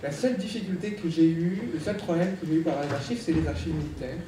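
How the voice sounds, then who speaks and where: A man's voice: fairly high pitch, quick pace, background noise. Hesitant man in an echoey hall. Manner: hesitant, many fillers and restarts. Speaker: panel speaker, biographer. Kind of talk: conference panel talk. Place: large lecture hall. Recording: echoey hall, speaker far from mic.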